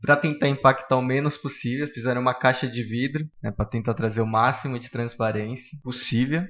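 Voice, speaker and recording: Brazilian man casually explaining, mid-pitched voice. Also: fairly even intonation, fairly quick, a bit bright. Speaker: podcast panelist presenting a building. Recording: dull, lo-fi podcast audio.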